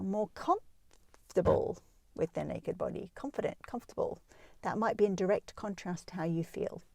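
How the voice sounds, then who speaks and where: Female advice host, relaxed mellow tone in a dry space, talking listeners through things reassuringly. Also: lowish voice, vocal fry, no room sound. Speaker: host of a parenting advice show. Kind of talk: explanatory advice talk addressed to listeners.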